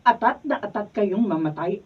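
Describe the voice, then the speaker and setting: A woman's voice: mid-range voice, animated, moderate pace, a little hiss or hum, natural voice. A woman playing a scornful lady lawyer. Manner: scolding, sharp rebukes. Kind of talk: radio drama acting, read from script. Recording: tinny, phone-like, mic from a distance.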